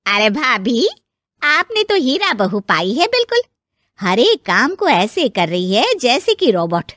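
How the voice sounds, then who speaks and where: An older, gossipy aunt-in-law acted by a woman from a cartoon family story. She is effusive and bossy, exclaiming in a high voice with a dry, close sound. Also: very animated, unhurried, fairly bright tone, no room sound. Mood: gushing.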